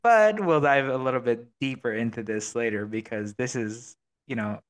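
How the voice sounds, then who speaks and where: A man's voice: medium pitch, moderate pace. A man hosting a casual podcast, rambling with many fillers and restarts. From Filipino American, living abroad. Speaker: podcast host.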